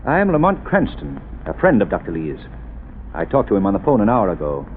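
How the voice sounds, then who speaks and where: A man playing a calm, wealthy amateur sleuth in a 1930s radio drama, measured and commanding. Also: fairly low pitch. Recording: tinny old radio broadcast with faint hiss.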